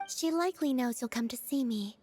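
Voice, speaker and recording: A woman playing a serene, gentle young moon goddess in a video game, speaking softly in a calm, formal, measured way. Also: high-pitched, slight background noise, lightly acted. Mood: calm, composed. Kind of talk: video game character acting.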